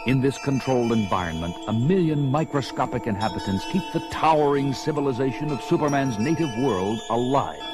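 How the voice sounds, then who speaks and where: A man delivers dramatic comic-book narration in a mid-pitched voice. Prominent music plays underneath as he tells the superhero story. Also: animated, a little fast, few pauses.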